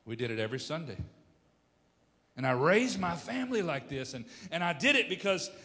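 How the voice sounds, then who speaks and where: Bright-voiced preacher man in a hall. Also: fairly high pitch, sing-song, brisk. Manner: emphatic preaching, swinging from loud to hushed. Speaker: pastor. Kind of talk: sermon.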